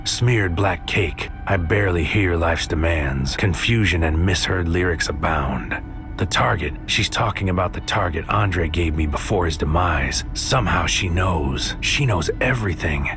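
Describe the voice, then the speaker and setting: A very deep male voice plays a brooding, obsessed soldier of the mind. He delivers an intense, poetic first-person monologue in noir audio drama style. Music plays clearly beneath him. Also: unhurried. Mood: grim, obsessive. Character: brooding, obsessed psychic soldier, an average-seeming man. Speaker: narrator and lead character. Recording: music under the voice.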